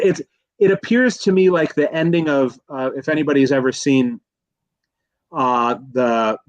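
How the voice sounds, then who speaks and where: A man in a casual film discussion as a guest expert, speaking in a halting, rambling way and often correcting himself. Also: medium pitch, no room sound, poor audio. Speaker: guest expert, college professor.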